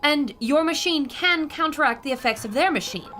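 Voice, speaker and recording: A woman's voice: high voice, lively intonation, moderate pace, sharp tone, soft background music. A woman playing a plucky, eloquent reporter, acted in a dramatic old-time radio adventure manner. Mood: earnest. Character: plucky, eloquent female reporter hungry for a scoop.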